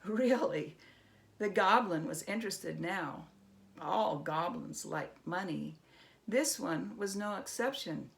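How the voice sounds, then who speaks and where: A woman's voice: on the low side, expressive, slow pace, creaky. A woman reading a children's story aloud as a scripted narration. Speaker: storybook reader.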